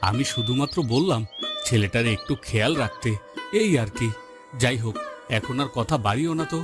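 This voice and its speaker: A man's voice: mid-range voice, fairly quick, lightly acted. A man playing a humble, hardworking village fisherman and husband in a cartoon, talking in a plain, homely, reassuring way. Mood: mildly defensive. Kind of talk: Bengali moral-story cartoon dubbing. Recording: loud music bed behind the voice.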